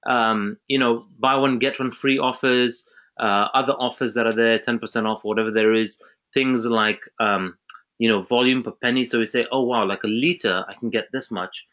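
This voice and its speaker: Chatty man with a bright voice. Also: medium pitch, fairly even intonation, moderate pace. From Zambia. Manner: chatty, with many restarts and fillers. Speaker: webinar host. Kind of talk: casual online webinar talk.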